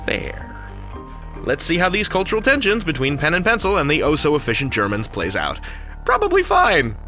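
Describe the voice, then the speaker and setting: A male podcast host with a forceful, bright voice and very animated intonation. He presents in a playful, conversational way, tossing out rhetorical asides. Soft music sits underneath. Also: a little high, moderate pace. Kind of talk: chatty, comic podcast presenting.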